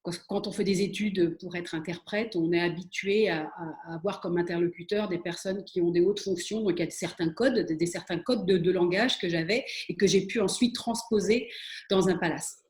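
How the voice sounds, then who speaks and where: A woman's voice: fairly low pitch, moderate pace, a little mellow. French woman telling her career story, echoey room. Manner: hesitant, many fillers and restarts. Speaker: interview guest, spa and wellness expert. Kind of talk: conversational interview answer.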